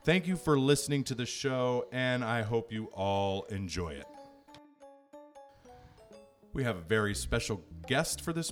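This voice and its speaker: A man hosting a podcast from a podcast room at a camp, joking with his guest and reading a quick disclaimer. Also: mid-range voice. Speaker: podcast host.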